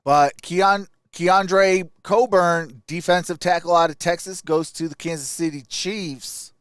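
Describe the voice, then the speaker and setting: Clear-voiced man in a dry close recording. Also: high voice, fairly even intonation, unhurried, fairly bright tone, no room sound. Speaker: live stream host. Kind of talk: casual sports commentary, answering viewer chat.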